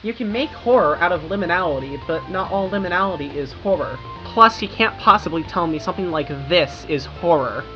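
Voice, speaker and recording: A woman's voice: on the low side, animated, a little fast, hardly any pauses. A young American woman narrates in an informal, wry way, like a creator talking viewers through a video essay. Her audio is slightly dull, with music playing behind her. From United States. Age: young adult. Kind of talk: scripted video essay narration with casual asides. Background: background music.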